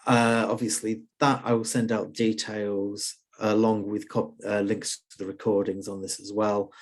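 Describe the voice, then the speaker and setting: A man hosts an online user group meetup over a video call, running through announcements casually. His voice sits somewhat low. He talks in a halting way, with frequent pauses and restarts. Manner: casual, hesitant, many fillers and restarts. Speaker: user group organiser and host.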